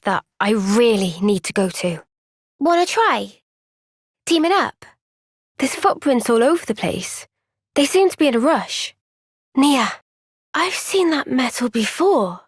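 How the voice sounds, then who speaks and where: A woman with a British accent voicing an earnest, gentle, thoughtful young woman soldier in a video game cutscene. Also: a little high, animated, a little slow, pauses often, no room sound, clean studio sound. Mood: calm, serious.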